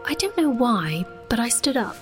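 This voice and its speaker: A woman's voice: a little high, very animated, moderate pace, over music. An actress playing a sheltered, proud young sorceress who tells her own story in an earnest, intimate way in a fantasy audio drama. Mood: reflective. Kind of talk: first-person fantasy audio drama narration and dialogue.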